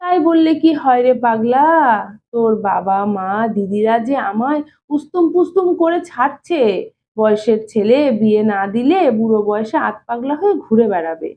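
Woman as a high-voiced, probing older male relative. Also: lively intonation, moderate pace, a little hiss or hum, close to an everyday voice. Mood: concerned, coaxing. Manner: probing, coaxing, familiar. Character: nosy, concerned older brother-in-law, a male family elder. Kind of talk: dramatized audiobook reading. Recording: thin phone-like narrow-band sound.

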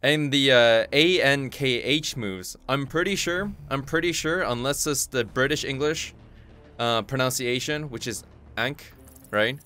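A man whose first language is Korean, hosting his channel and commentating his own online fighting-game matches, excitable and swearing freely as he talks to himself. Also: medium pitch, measured pace. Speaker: gaming channel host. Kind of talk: live gameplay commentary.

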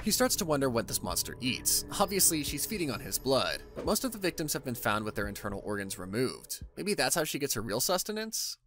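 A man's voice: medium pitch, sing-song, fairly quick, few pauses, fairly bright tone, faint music behind. A man narrating a scripted story recap in an informal, wry storytelling manner.

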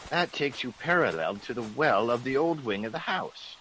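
An actor playing a fussy, sceptical British gentleman in a vintage radio drama, genteel, blustery and exclamatory. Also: mid-range voice, animated, normal speed, close to an everyday voice. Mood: curious. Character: fussy, excitable, sceptical English gentleman of the manor. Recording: noisy vintage radio recording.

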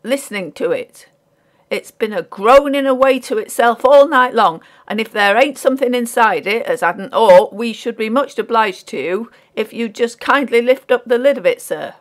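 A blunt, stubborn dock porter from a storybook, acted out by a woman, speaks. His delivery is loud and dogged, with pitch that swings widely as he insists on his point. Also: expressive, normal speed, natural voice. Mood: dogged, indignant. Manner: dogged, blunt, ungrammatical dialect speech. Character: gruff, stubborn male English dock porter, working-class. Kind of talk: audiobook character voice.

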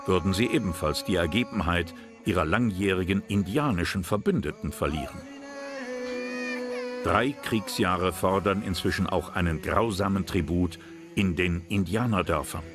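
A man narrating a historical documentary from a script in a measured, serious manner. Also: sits low. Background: loud music bed.

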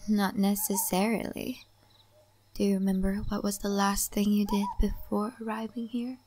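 A woman playing an ancient, wise and cryptic spirit-realm lady in a soft, breathy ASMR roleplay. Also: mid-range voice, level tone, slight background noise, close to an everyday voice. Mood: calm, mysterious. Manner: soft, breathy, often whispering, unhurried.

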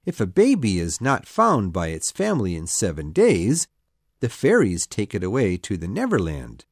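A woman doing young boy Peter, lively. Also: very deep voice, normal speed, breathy edge, no room sound, clean studio sound, pitched below the performer's own voice, heavily acted. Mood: earnest. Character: boy Peter, eternally young, boastful leader. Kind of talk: storybook reading.

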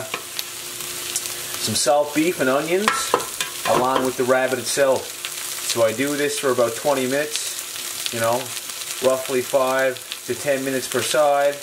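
A man's voice: medium pitch, slow. A young man, talking casually through his home cooking in a laid-back, folksy way. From Newfoundland and Labrador, Canada. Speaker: home cook presenting a video. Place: small home kitchen, indoors. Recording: roomy kitchen echo, clear sizzling noise.

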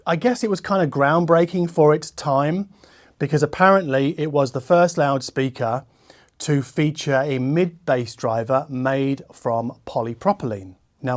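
Higher-pitched man reviewing gear casually. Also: darkish tone. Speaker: host, audio equipment reviewer. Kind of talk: casual product review to camera. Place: furnished living-room listening room, indoors.

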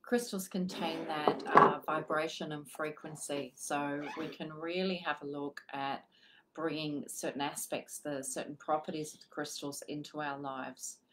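A woman in her forties, a guest healer on a live video chat, explaining crystals and energy calmly. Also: low-pitched, unhurried, a little hiss or hum, low-quality recording. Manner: calm, flowing spiritual explanations. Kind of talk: live-streamed spiritual chat. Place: home room with a paper screen. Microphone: video call webcam.

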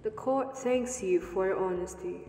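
A calm female judge of the afterlife, played by a woman speaks formally and with authority. Her tone is soft-edged and mellow, ringing through a big reverberant hall in a radio drama. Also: mid-range voice, close to an everyday voice. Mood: calm, stern. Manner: formal, deliberate. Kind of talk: amateur radio drama acting. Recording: echoey, reverberant hall sound.

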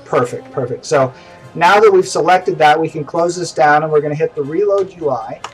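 A man's voice: fairly high pitch, moderate pace, recorded from a distance, rough recording. A middle-aged man hosting a casual game stream, chatty and self-deprecating, recorded at home. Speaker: stream host. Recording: voice from away from the mic, faint music behind.

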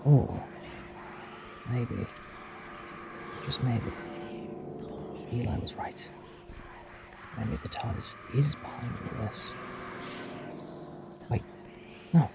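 A man's voice: medium pitch, drawn-out words, long pauses, over music. A man playing an anxious, searching traveller who talks urgently to himself in a fan audio drama. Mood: uneasy, puzzled.

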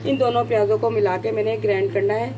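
A woman with a Pakistani Urdu accent, hosting a cooking channel from her home kitchen and explaining each step slowly, often restarting and rephrasing. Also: medium pitch, steady tone, noisy background. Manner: homely, explains slowly with many restarts and "yani".

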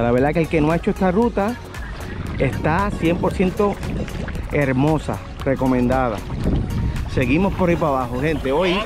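Entusiasta vlogger puertorriqueño en kayak. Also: male voice, fairly high pitch. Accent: Puerto Rican Spanish. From Puerto Rico. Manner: excited, cheering, speaks to viewers. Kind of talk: upbeat travel vlog narration. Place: outdoors on a kayak on open bay water. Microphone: action camera on the kayak, sometimes held at arm's length.

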